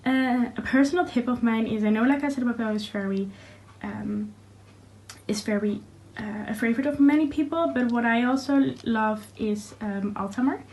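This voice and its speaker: A woman's voice: fairly high pitch, moderate pace, a little mellow, rough recording. A young woman, a Dutch native speaker, casually sharing study tips in a home vlog with lots of fillers. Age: young adult. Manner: chatty, many fillers. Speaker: student vlogger. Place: small room at home. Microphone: phone camera.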